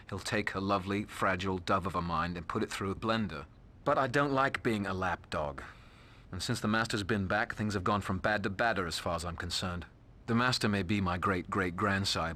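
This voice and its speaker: A man's voice: low-pitched, brisk, sharp tone. An actor playing a sardonic, cocky male vampire with a British accent, speaking in a sneering, slangy, mocking way. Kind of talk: video game voice acting.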